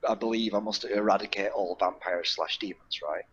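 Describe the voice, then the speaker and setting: A man's voice: lowish voice, steady tone, fairly quick, fairly bright tone, a little hiss or hum. A man talking casually as a player in a tabletop game over an online voice call. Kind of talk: casual chat. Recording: slightly dull voice-call audio.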